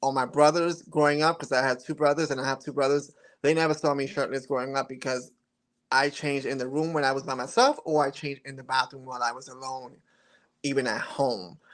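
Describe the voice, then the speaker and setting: A man's voice: mid-range voice, steady tone, fairly quick, fairly bright tone. Earnest man in his forties. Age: 40s. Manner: earnest and reflective, with occasional laughs and restarts. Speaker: guest, model. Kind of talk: casual interview chat.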